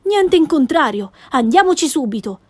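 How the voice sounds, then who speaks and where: A woman's voice: sits high, sing-song, moderate pace, a little mellow, no room sound, voice pushed up. A woman voicing a curious, sensible young boy, asking questions in a matter-of-fact way.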